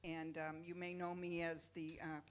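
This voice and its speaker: A woman's voice: sits low, completely flat delivery, moderate pace, a little hiss or hum. A woman giving an informal, somewhat hesitant welcome speech to a congregation as the director of an amateur play. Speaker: amateur play producer and director introducing a performance. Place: church during a Sunday service.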